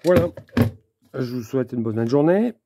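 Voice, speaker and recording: A man presenting an unscripted technical demonstration, speaking methodically in short, halting phrases. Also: medium pitch, expressive, warm tone. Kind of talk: unscripted hands-on explanation. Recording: clear background noise with clicks.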